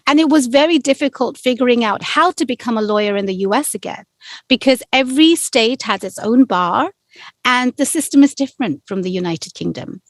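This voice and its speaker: A woman in her forties with a London accent, a lawyer telling her story in a lively, warm interview. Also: on the high side, a little fast, no echo. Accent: London English. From London, England. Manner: warm, animated storytelling with laughs. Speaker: immigration lawyer, guest. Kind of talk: casual interview talk.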